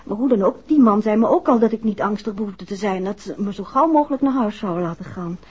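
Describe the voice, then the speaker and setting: Actress as a husky-voiced, shaken yet stubborn wife. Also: female voice, medium pitch, fast, close to an everyday voice. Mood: hesitant, unsure. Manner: hesitant recounting, insistent. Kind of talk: 1960s radio drama acting. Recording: muffled old 1960s radio recording.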